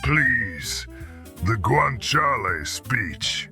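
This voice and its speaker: A man acting a character in an audiobook drama, speaking in a pleading, deliberate way. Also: medium pitch, big pitch swings, sharp tone, soft background music, heavily acted.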